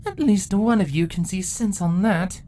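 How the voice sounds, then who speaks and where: A woman's voice: medium pitch, normal speed, warm tone, a little hiss or hum, lightly acted. A woman voicing regal changeling queens and a pony in a dramatic fantasy story, speaking formally and theatrically. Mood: wary. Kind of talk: dramatic story character acting.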